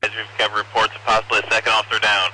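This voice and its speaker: A man's voice: lowish voice, monotone, rapid-fire, pushed and bright, no room sound, poor audio. A man speaking in clipped dispatch phrases over a two-way radio. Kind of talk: clipped emergency radio traffic. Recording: hissy police radio transmission.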